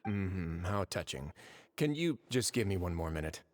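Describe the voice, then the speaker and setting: A man's voice: low-pitched, big pitch swings, a little hiss or hum. A man playing a composed, drily witty warden in a video game, speaking politely and without hurry. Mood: wry. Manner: unhurried, wry, polite. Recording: music under the voice.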